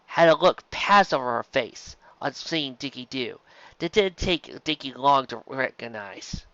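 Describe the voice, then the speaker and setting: Story reader for audiobook fiction. Lively, swooping pitch, dry lo-fi sound. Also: unhurried, no room sound. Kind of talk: scripted narration, reading a story aloud.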